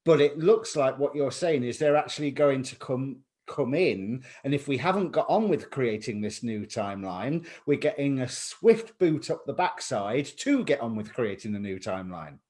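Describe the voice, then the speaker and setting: A man's voice: mid-range voice, expressive, normal speed, few pauses, darkish tone. Chatty male interview host. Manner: chatty, reflective, asks long winding questions. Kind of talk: conversational interview.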